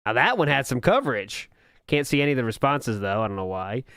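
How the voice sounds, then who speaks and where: A man's voice: mid-range voice, sing-song, fast talker, a bit bright, clean tone, no echo, clean studio sound. A man hosting a podcast, giving sarcastic, mocking commentary on old posts and live chat and laughing often. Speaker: podcast host.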